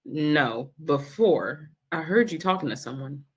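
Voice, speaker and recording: Woman acting a bright-edged, pointed nurse, lowish voice. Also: animated, a little slow, husky, exaggerated voice. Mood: polite, probing. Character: composed, pointed female hospital nurse on night rounds. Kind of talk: screenplay table read acting.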